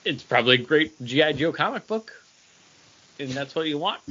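A man's voice: on the high side, animated, moderate pace, sharp tone. A man co-hosting a podcast in casual chat, with a wry, noncommittal way of talking. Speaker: podcast co-host. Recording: dull, lo-fi podcast audio.

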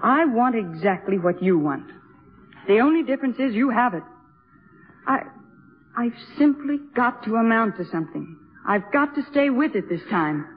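Evasive, self-possessed young wife, high voice, 1950s radio drama, muffled vintage sound. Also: female voice, lively intonation, normal speed, husky. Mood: restless, resolved. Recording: muffled, band-limited 1950s radio recording.

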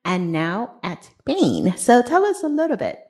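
A woman's voice: fairly high pitch, huge pitch swings, unhurried, a little mellow. A woman hosting a podcast interview, speaking in a conversational way. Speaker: podcast host.

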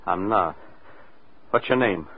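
A man's voice: low-pitched, expressive, slow, breathy edge, heavily acted. An American man acting a suspicious, insistent, anxious fellow in a 1940s radio drama, with urgent slangy delivery. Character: anxious, suspicious male oil company stooge, blunt and insistent. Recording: muffled, narrow-band old radio recording.

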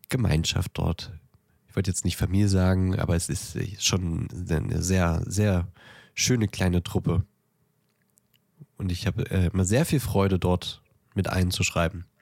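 A man's voice: low voice, creaky, a little mellow, no room sound, clean studio sound. A man co-hosting a podcast, chatting casually and informally with a teasing streak. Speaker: podcast co-host.

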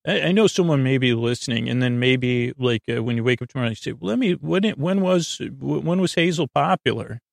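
A man hosting a sleep podcast, rambling in a meandering, stammering monologue with frequent digressions and a gravelly, creaky voice. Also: mid-range voice, lively intonation, fairly quick, few pauses, dry recording, studio quality. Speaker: podcast host.

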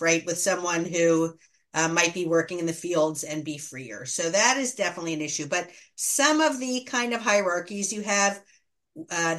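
A woman's voice: medium pitch, a bit bright. A woman speaking as an academic interview guest, explaining in a thoughtful, didactic, conversational way and correcting herself as she goes. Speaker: history scholar and teacher, interview guest.

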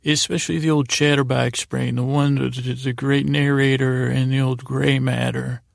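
A man with a gravelly, creaky voice hosting a podcast in a rambling bedtime monologue, halting and repeating himself as he meanders along. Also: medium pitch, little pitch movement, dry recording, clean studio sound. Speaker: podcast host.

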